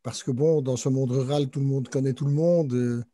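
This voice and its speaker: A man's voice: medium pitch, steady tone, normal speed, mellow, no room sound. A man moderating a literary panel, introducing the guests and their books with many hesitations and fillers. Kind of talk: panel discussion hosting.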